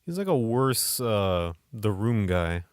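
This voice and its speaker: A man's voice: fairly low pitch, big pitch swings, takes it slowly, smooth, no room sound, studio quality. An American man, around his thirties, chatting casually as a podcast co-host. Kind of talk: casual chat.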